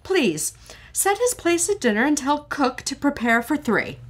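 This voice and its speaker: A woman's voice: sits high, sing-song, a little slow. A woman playing a greedy, cold-hearted widow in an old-time radio drama, with a haughty, imperious way of talking.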